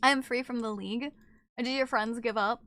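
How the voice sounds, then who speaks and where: A woman streamer chatting casually with her viewers in an animated, teasing way. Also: on the high side, a little fast, no room sound, clean studio sound. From not from Japan. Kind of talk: casual live-stream chat.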